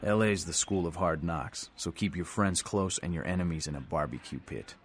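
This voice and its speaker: An American actor playing a gruff, defiant vampire rebel leader in a video game, blunt and streetwise with folksy slang. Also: male voice, low voice, moderate pace, a little hiss or hum, lightly acted. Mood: gruff, instructive. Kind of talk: video game dialogue acting. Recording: background music under the voice.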